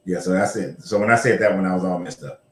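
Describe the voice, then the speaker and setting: A man's voice: sits low, fairly even intonation, fast talker, a little hiss or hum. A man hosting a casual history discussion, talking loosely with some swearing and correcting himself as he goes. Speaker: show host. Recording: roomy echo.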